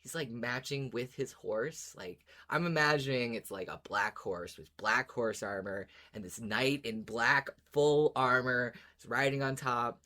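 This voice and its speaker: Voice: medium pitch, a little slow, few pauses, sharp tone. A podcast co-host chatting casually and enthusiastically about fiction, often circling back over phrases.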